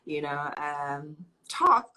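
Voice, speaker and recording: A woman's voice: low-pitched, big pitch swings, extremely slow, bright, forceful tone, poor audio. A woman hosting a live online show, chatting casually and showering her guest with encouragement, often repeating herself. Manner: effusive, encouraging, repeats phrases. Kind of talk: casual live-stream chat.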